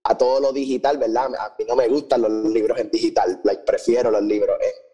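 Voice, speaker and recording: A man's voice: mid-range voice, fairly even intonation, brisk, warm tone, breathy edge. A man with a Puerto Rican Spanish accent co-hosting a podcast in casual chat, informally mixing English words into his speech. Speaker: podcast co-host.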